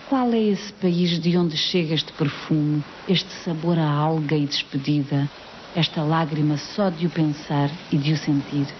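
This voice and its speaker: Woman w/ a low voice reading poetry slowly, dull tv sound. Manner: slow, measured recitation. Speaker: poetry reader. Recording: dull lo-fi TV broadcast with faint hiss.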